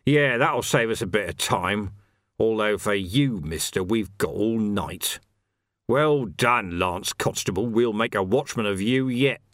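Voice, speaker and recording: A man voicing a gruff male sergeant who growls his words. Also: on the low side, lively intonation, measured pace, a bit bright, no echo, clean studio sound.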